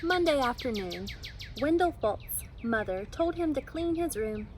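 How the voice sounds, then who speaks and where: Woman, children's story reader, swooping expressive intonation, emphatic delivery, faint noise behind. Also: sits high, unhurried. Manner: animated, emphatic storytelling with acted dialogue. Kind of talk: expressive read-aloud of a children's picture book.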